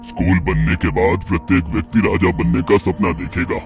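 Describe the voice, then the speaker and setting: A man's voice: sits low, level tone, brisk, a little mellow, soft background music, close to an everyday voice. A man playing a self-assured, calculating character in a moral-story cartoon. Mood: smug, selfish.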